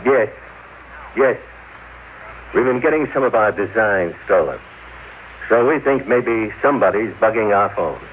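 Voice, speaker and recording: An actor playing a stern, self-righteous company boss and brother in a vintage radio drama, speaking in an emphatic, moralizing way. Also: male voice, fairly low pitch, animated, a little slow, long pauses, narrow, tinny audio. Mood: evasive. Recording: thin vintage radio sound, faint noise.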